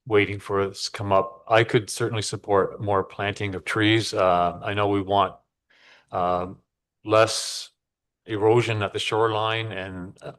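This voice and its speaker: A man's voice: fairly low pitch, a bit bright. A man speaking as a councillor at a meeting, friendly and agreeable in tone. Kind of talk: council deliberation. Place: council chamber.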